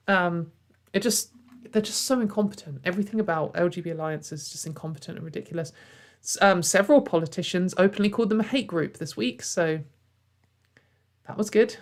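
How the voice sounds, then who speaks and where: A woman hosting a casual commentary video from home, sarcastic and exasperated, with frequent sighs and fillers. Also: on the low side.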